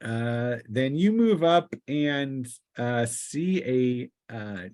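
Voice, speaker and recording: Man running a tabletop game, halting delivery. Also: medium pitch, expressive, darkish tone. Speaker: game master. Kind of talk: narrating a tabletop role-playing game, improvised.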